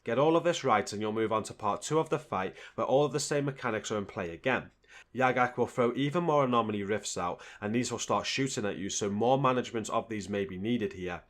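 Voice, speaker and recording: A man's voice: lowish voice, a little fast, a bit bright. A man giving a scripted narration as the presenter of a video game guide.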